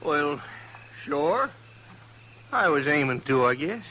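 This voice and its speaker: An actor playing a drunken, stubborn mountaineer with a rural American accent and a befuddled drawl in a radio western. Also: male voice, fairly high pitch, very animated, slow pace, sharp tone, a little hiss or hum, exaggerated voice, rough recording. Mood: groggy, befuddled. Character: drunken, stubborn hillbilly mountaineer in the Old West. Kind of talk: 1950s radio western acting.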